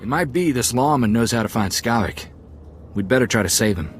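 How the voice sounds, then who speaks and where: An actor playing a loyal, plainspoken sidekick to a smuggler in a video game. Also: male voice, mid-range voice, animated, brisk, a little hiss or hum, natural voice. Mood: eager, practical. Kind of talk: video game dialogue acting.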